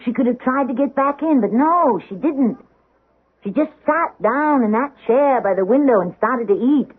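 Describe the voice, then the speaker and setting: A woman's voice: sits high, lively intonation, moderate pace, slightly rough, phone-quality sound. A woman playing an anguished, devoted middle-aged stage actress in a theatrical, intense 1970s radio drama style. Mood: anguished, searching.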